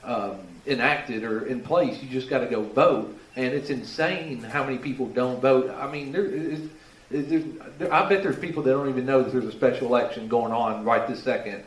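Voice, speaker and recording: A man's voice: mid-range voice, normal speed. Man, candidate, blunt, conversational, echoey, distant. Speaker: political candidate. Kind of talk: candidate forum answer.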